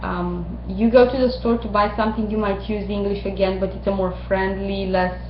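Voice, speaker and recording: Halting Romanian-born woman lecturing. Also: mid-range voice, fairly even intonation, a little hiss or hum. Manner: thoughtful, many ums and uhs, halting. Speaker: instructor. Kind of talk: recorded mini-lecture. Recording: roomy, dull lo-fi recording.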